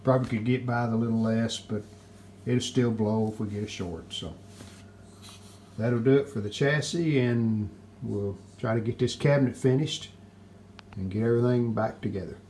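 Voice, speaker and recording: A man giving casual, plainspoken hands-on narration as the host of a repair video, unscripted and pausing mid-sentence. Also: mid-range voice, mellow. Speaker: host of a radio restoration video channel. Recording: clear background noise, room ambience.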